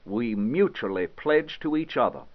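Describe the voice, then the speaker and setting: A male actor plays an eighteenth-century American statesman delivering a historical declaration, solemn and indignant. His mid-pitched voice rises and falls dramatically through measured, oratorical phrases with weighty pauses. The recording is a little dull and limited in bandwidth. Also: lively intonation, natural voice. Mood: firm, declaratory. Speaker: historical interpreter. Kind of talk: formal dramatic reading of an 18th-century political document. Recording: slightly dull, band-limited audio.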